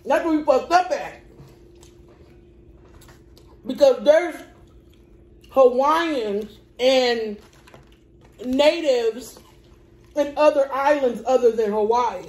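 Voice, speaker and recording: A woman's voice: high-pitched, slow pace, long pauses, a little mellow. A young woman with a Southern US accent, chatting sassily and teasingly while eating at a kitchen table. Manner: sassy, drawling, teasing. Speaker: mukbang co-host. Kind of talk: casual banter while eating. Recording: echoey kitchen.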